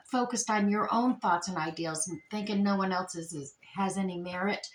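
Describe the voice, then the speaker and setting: A woman doing a chatty, meandering tarot reading while losing her voice, her throat strained. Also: medium pitch. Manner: chatty, meandering, with many fillers and restarts. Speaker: tarot reader. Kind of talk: casual tarot reading to camera. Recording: echoey room with faint noise.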